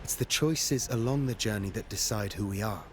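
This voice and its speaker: An actor playing a steadfast, compassionate warrior hero in a video game, earnest and measured. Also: male voice, on the low side, slight background noise, natural voice. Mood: consoling, earnest. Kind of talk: dramatic video game acting. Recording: music behind the voice. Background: music.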